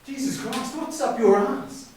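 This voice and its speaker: A man's voice: extremely high pitch, unhurried, a little mellow, a little hiss or hum. A man playing a defensive, sarcastic brother in a stage play, articulate and indignant in his delivery. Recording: very echoey hall, distant mic, faint background ambience.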